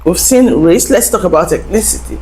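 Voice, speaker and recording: A woman's voice: lowish voice, lively intonation, fairly quick, warm tone, slight background noise. A woman hosting a talk show, explaining to viewers in a lecturing way and asking rhetorical questions. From Africa. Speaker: host.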